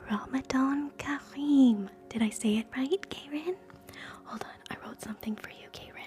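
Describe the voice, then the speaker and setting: A woman hosting live ASMR in a soft, breathy whisper, gentle and soothing, moving between guided relaxation and quiet chat. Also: high voice, normal speed, faint music behind. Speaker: ASMR streamer, host.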